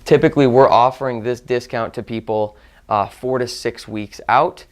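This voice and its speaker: Male sales trainer, mid-pitched, instructional delivery with demonstrated call lines, restates points for emphasis. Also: moderate pace. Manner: repeats and rephrases for emphasis.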